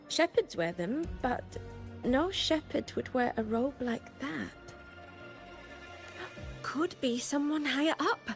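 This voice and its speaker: Chatty young student, high voice. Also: female voice. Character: cheerful young woman student.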